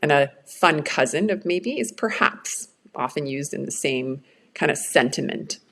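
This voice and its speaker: A woman's voice: low voice, expressive, measured pace, vocal fry, a bit bright, slightly rough. A middle-aged woman whose first language is Swedish, giving an earnest, reflective workshop talk on change management.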